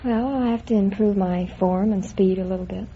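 A woman's voice: medium pitch, fairly even intonation, a little fast, a loud crowd. A woman in her twenties answering a quiz host's questions, polite and plain-spoken. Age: 20s. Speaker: quiz show contestant. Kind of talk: casual chat. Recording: dull old radio broadcast.

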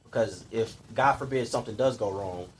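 A man's voice: on the low side, a little fast, a little hiss or hum, low-quality recording. A man in his late twenties in casual chat, giving blunt, profane advice full of slang. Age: late 20s.

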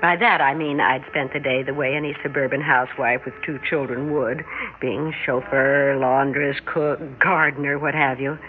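Housewife narrator, tinny old radio. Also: female voice, rumbling low, steady tone, normal speed. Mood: wry narration. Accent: American. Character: suburban housewife and mother who senses the future, wry. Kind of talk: old-time radio drama acting and first-person narration. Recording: noisy, narrow-band vintage radio recording.

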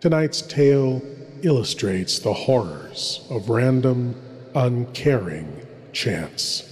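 Male horror host, theatrical, sinister, grandiose, unhurried delivery, deep echoing hall. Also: lively intonation, darkish tone. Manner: slow, ominous, grandiose. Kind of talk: theatrical scripted horror narration. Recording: long hall reverb.

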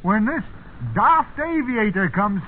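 Indignant old country farmhand, rural English dialect, high-pitched, plain-spoken; vintage radio play with faint noise. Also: male voice, lively intonation, slow. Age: older. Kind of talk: 1950s radio drama acting. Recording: tinny, noisy 1950s radio recording.

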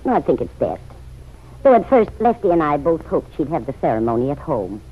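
An actress playing a kindly, unruffled middle-aged mother in an old-time radio drama, with a gentle motherly manner. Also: low-pitched, big pitch swings, fairly quick, slight background noise. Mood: fond. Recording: muffled vintage radio recording.

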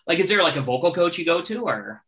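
Guy in his late 30s interviewing a band, bright voice, chatty and upbeat questions. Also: on the high side, very animated, fast. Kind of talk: casual interview.

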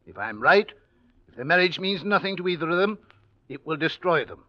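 An actor playing a stern, controlling, wealthy old uncle in 1940s radio drama, imperious and deliberate. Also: male voice, on the high side, sing-song. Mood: coolly musing. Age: older. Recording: dull vintage radio recording.

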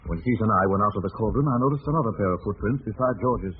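Fast-talking, commanding radio detective. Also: male voice, fairly low pitch, expressive. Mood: urgent, resolute. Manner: crisp, urgent, authoritative. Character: commanding, quick-thinking male amateur detective, protective and resolute. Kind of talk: vintage radio mystery acting. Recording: tinny, hissy old radio recording.

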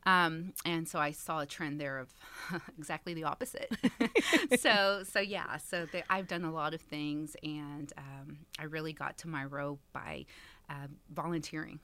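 A woman's voice: low-pitched, a little slow, bright, forceful tone. A woman in her thirties from Texas, a community center director, chatting as a guest with frequent laughs and fillers. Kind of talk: casual interview chat.

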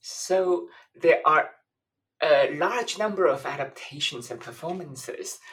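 A woman's voice: low-pitched, lively intonation, takes it slowly, fairly bright tone. A woman from Taiwan, a professor and author, giving thoughtful interview answers that list ideas, with frequent restarts.

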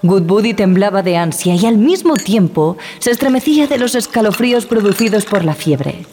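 A woman playing a wary ship passenger who recounts a horror tale in a measured, grave manner. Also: medium pitch, animated, dark tone, lightly acted. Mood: grave recounting. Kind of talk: dramatized first-person horror narration. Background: music.

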